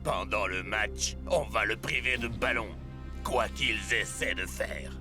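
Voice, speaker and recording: A man's voice: on the low side, measured pace, music in the background, natural voice. A man voicing a smug, scheming villain from a rival sports team in a French cartoon, with a drawling, gloating manner.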